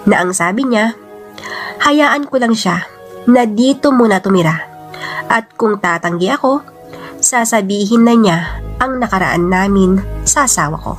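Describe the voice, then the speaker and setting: A woman with a Filipino accent narrating a first-person story in a dramatic radio storytelling style. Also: mid-range voice.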